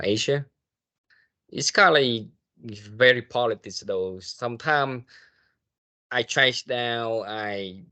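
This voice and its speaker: Slow, low-voiced man. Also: fairly bright tone. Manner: halting, hesitant phrasing. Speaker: cybersecurity investigator, guest speaker. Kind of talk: answering questions in an online meeting.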